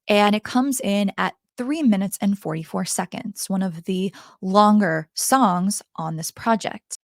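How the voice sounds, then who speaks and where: Hoarse woman reviewing an album. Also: medium pitch, no room sound, studio quality. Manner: chatty, talks straight to viewers. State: hoarse, raspy voice from the cold. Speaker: music reviewer. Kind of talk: casual album review vlog. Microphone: handheld microphone.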